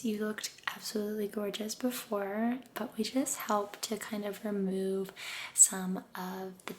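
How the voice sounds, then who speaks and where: A soft-spoken woman doing an ASMR spa role-play as an aesthetician, breathy and often whispering, gentle, soothing and encouraging. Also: mid-range voice, slight background noise. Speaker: ASMR creator playing a med spa aesthetician.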